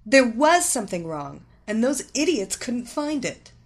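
A woman voicing an angry, haughty, sharp-tongued young woman in a story, barking out her words. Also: medium pitch, big pitch swings, unhurried. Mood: irritated. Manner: barking, spitting out commands.

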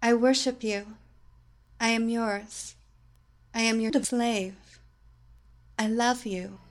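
A woman voicing an entranced young female superheroine who answers in soft, dreamy repetition. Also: on the high side, fairly even intonation, takes it slowly.

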